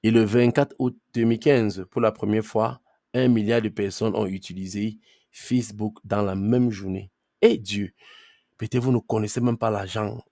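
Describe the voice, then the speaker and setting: A man's voice: on the low side, fairly even intonation, normal speed, vocal fry, mellow, no echo, clean studio sound. A man teaching a live online class. He talks conversationally, keeps checking in with "ok?" and firmly tells late arrivals to mute their mics. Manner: firm with late students about muting. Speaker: trainer.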